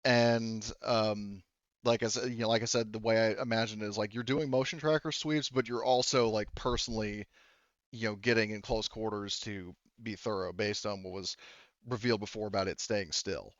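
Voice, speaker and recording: A man running a tabletop roleplaying game as game master, narrating casually in a halting, conversational way with hedged explanations. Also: on the low side, steady tone. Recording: dull, roomy, echoey sound.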